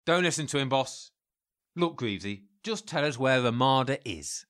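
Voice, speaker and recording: An actor playing a loyal gangster's henchman in a dark comedy crime drama, deferential, soothing and matter-of-fact. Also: mid-range voice, lively intonation, moderate pace, natural voice. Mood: placating.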